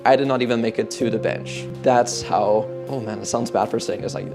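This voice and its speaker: A young man in his twenties talks casually and earnestly about goals, his sentences loose and padded with "like" and "kinda". His voice sits at an ordinary middle pitch for a man. Clear guitar music plays behind him throughout. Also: steady tone, fairly quick. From Netherlands. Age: 20s. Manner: rambling, heavy use of "like" and "kinda". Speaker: fitness coach. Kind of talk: casual motivational talk.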